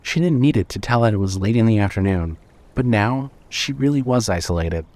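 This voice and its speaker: A man narrating scripted fiction as a storyteller, in a measured way. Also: fairly low pitch, lively intonation, darkish tone.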